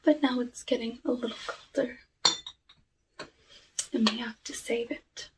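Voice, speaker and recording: A courteous, lonely forest witch, played by a woman murmurs and whispers through an ASMR roleplay. Her delivery is very slow and deliberate, with formal old-fashioned phrasing and a sly hint of menace. The recording sits a little away from the microphone over a faint layer of noise. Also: a little high, steady tone, far from the mic. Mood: softly hospitable. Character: soft-spoken lonely witch of the woods, courteous, slyly menacing.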